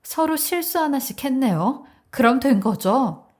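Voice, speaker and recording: A woman voicing a young bride, sharp and confrontational, outraged and protective of her mother in a dramatized story. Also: high-pitched, lively intonation, measured pace, voice pushed up. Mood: defiant.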